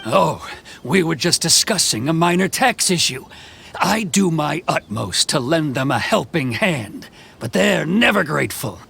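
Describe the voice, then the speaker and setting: Greedy blustering bureaucrat for a video game: high-pitched, swinging intonation, indignant and self-justifying. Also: male voice, expressive, measured pace, gravelly, fairly bright tone, slight background noise, full character voice. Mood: obsequious. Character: greedy, corrupt, blustering male tax official. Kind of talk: video game cutscene acting. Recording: music under the voice. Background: background music.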